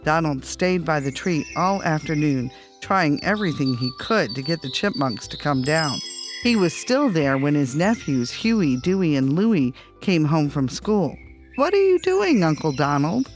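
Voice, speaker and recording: Children's storyteller with soft music behind. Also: medium pitch, lively intonation, measured pace. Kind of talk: scripted children's bedtime story narration.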